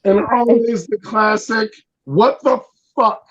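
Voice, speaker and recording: A man tells a rambling stand-up story over a video call, loud and poking fun at himself. His voice sits fairly high. It comes through a home call mic. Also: slow, no echo, highs cut off. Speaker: stand-up comic in residence. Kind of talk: stand-up set over a stream.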